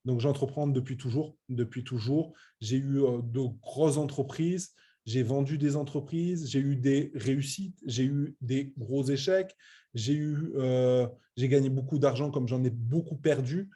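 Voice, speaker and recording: Male, late 30s, soft dark tone, medium pitch, informal presenter who stresses points and restarts often. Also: a little slow, slightly rough. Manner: hesitant, repetitive, emphatic. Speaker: web entrepreneur presenting a training programme. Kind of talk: informal online business presentation.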